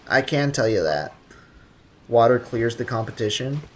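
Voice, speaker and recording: A man's voice: medium pitch, lively intonation, normal speed, a little hiss or hum. A man live streaming a video game, chatting casually with viewers in a slangy, joking, rambling way.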